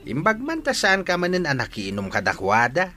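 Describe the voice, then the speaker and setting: Lalaking gumaganap na masiglang matandang ama sa baryo, pabago-bago ang tono. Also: male voice, fairly high pitch, huge pitch swings, normal speed, pushed and bright, faint music behind, full character voice. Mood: concerned, fond. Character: older village father, excitable, fond, nagging about drink. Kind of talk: Philippine radio-style story drama.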